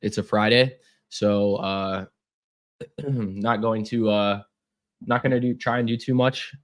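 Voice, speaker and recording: Hoarse young guy on a trading stream, kinda low voice, lots of um and uh. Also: fairly even intonation, takes it slowly. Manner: casual, repeats words, frequent fillers. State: hoarse, losing his voice. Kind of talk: live trading stream chat.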